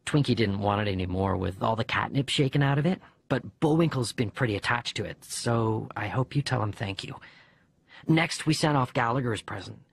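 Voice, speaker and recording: A man reading a first-person children's story as an audiobook narrator, with a husky voice. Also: fairly low pitch, expressive, moderate pace, no echo. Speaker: audiobook reader.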